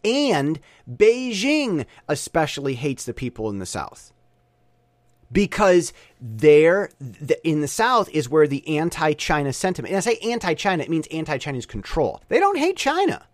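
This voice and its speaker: A middle-aged man hosting a podcast, talking in a rambling, animated monologue full of restarts. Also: on the high side, normal speed, no echo. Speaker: podcast host. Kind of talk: casual monologue. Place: Taiwan.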